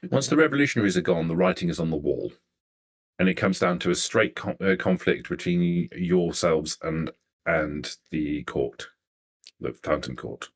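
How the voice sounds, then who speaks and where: A man acts out a poised vampire noblewoman in a tabletop game. The voice sits low and chooses words formally and deliberately, polite with cool menace underneath. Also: animated. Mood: guarded, calculating. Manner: formal, measured, deliberate diction. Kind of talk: improvised tabletop roleplay.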